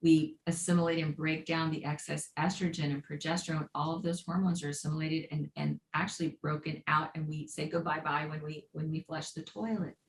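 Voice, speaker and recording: Low-pitched middle-aged woman, lively expert guest, roomy recording with faint noise. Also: brisk, hardly any pauses. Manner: enthusiastic, explanatory, playful phrases. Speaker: integrative health guest. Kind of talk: podcast interview.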